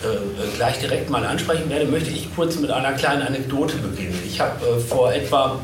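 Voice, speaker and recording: A man's voice: medium pitch, normal speed. A man hosting a live panel, introducing guests informally and often searching for words. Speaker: panel host. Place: convention panel stage. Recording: roomy, distant, noisy live event recording.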